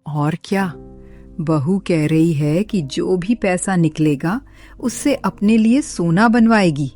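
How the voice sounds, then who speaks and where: A woman's voice: lowish voice, slow, dark tone, soft background music. A woman playing a bossy, money-minded older village mother-in-law in a cartoon moral story, scolding and demanding. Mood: scolding, sarcastic.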